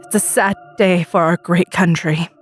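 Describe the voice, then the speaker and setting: Woman playing a female president, sorrowful, solemn, halting formal address, story reading, background music. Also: fairly low pitch, fairly even intonation, voice pushed down, close to an everyday voice. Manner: halting, solemn, tearful delivery. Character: grief-stricken female president giving a solemn emergency address.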